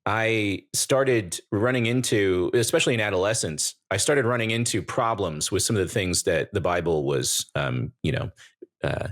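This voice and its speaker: A man in his forties from American Samoa, a guest telling his life story in a relaxed, joking way. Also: fairly low pitch, normal speed. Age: 40s. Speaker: guest, YouTuber. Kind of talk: casual interview chat.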